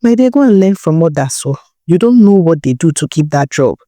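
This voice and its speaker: A woman's voice: deep voice, expressive, a little fast, warm tone, no room sound, clean studio sound. A woman storyteller voicing an arrogant, mocking rich Nigerian businessman in a folktale, talking with condescension. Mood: mocking, condescending. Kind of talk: storybook character dialogue.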